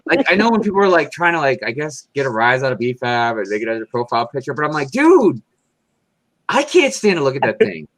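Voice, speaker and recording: A man's voice: mid-range voice, big pitch swings, fast, fairly bright tone, no room sound. A man co-hosting a casual livestream chat, laid-back and saying "dude" and "man" a lot. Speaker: livestream co-host.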